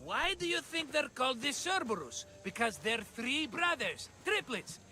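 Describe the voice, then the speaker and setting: A man playing an excitable, scheming merchant in a video game, chatty, dramatic and wheedling. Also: extremely high pitch, normal speed, slight background noise, heavily acted. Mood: scheming, excited. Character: excitable scheming cheerful male merchant, always broke. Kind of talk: video game cutscene acting.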